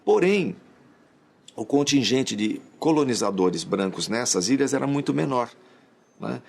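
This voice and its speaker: Gravelly, husky man explaining. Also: medium pitch, big pitch swings, measured pace, darkish tone. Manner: explains casually, with tag questions. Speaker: expert interviewee. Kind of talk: explanatory interview talk.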